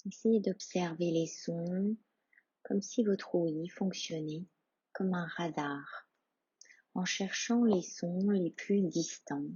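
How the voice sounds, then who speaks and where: A woman leading a guided yoga nidra meditation as instructor, hushed, calm and soothing, leaving long pauses between short phrases. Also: on the low side, slightly rough, dry recording, highs cut off.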